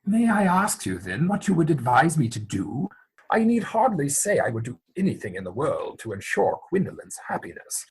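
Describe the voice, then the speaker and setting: Man playing a flustered, husky young gentleman. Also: a little high, big pitch swings, moderate pace, full character voice, low-quality recording. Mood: pleading. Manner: hesitant, formal, deferential. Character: earnest, flustered young English gentleman suitor, polite and anxious. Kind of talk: theatrical comedy dramatic reading.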